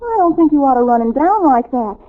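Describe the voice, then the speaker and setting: A woman's voice: high-pitched, expressive, a little fast. A woman playing a gushing, dreamy, star-struck teenage girl in 1940s radio comedy style, flustered and melodramatic. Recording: old narrowband radio recording.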